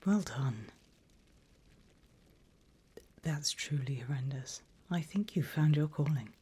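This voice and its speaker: A woman plays a seasoned female demon guiding a new recruit in an ASMR roleplay. Her voice is a very breathy whisper, low and soft-edged. She speaks with unhurried, dry wit and encouragement. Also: expressive, full character voice. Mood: dryly approving. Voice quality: breathy, mostly unvoiced whisper. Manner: slow, deliberate, dryly humorous.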